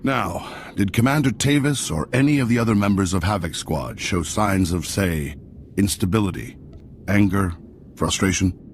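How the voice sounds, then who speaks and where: An actor playing a male senator who asks measured, insinuating questions. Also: sits low, a little hiss or hum, lightly acted.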